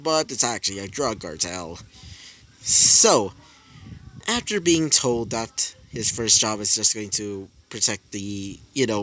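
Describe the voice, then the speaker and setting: A man improvising a fan story with many fillers and repeated speech tags. His pace is slow and his pitch moves a lot. His voice is bright and slightly high.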